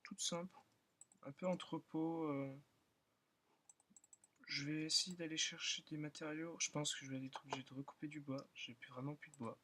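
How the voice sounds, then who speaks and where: A young man who is still in school, slightly hoarse, rambling and thinking aloud with many fillers as he comments on his own gameplay. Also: a little high, fairly even intonation, normal speed, pauses often, a little hiss or hum. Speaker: gaming channel host. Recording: loud keyboard and mouse clicking.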